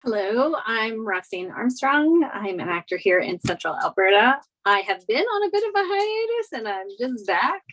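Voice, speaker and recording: A woman's voice: fairly high pitch, sing-song, moderate pace, few pauses, fairly bright tone, rough recording. A woman casually introducing herself as an actor on a video call.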